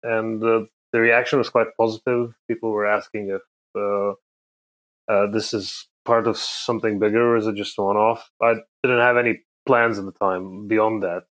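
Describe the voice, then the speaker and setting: A middle-aged man from Egypt, chatting as an interview guest in a hesitant, halting way. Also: on the low side, level tone, fairly bright tone, poor audio. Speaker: podcast guest, comic creator. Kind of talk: casual interview chat.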